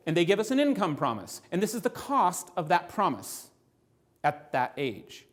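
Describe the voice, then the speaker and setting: A man presenting financial ideas to a live audience in a room, animated, often asking the listeners rhetorical questions. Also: sits high.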